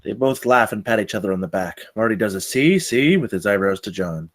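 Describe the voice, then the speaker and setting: A man's voice: fairly low pitch, lively intonation, a little fast. A man reading stage directions as the narrator of a screenplay table read.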